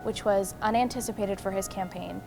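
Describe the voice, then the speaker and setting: A woman's voice: mid-range voice, steady tone, fairly quick, vocal fry, a little mellow, soft background music. A woman campaign staffer speaking in an interview, earnest and correcting herself as she explains. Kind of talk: documentary interview.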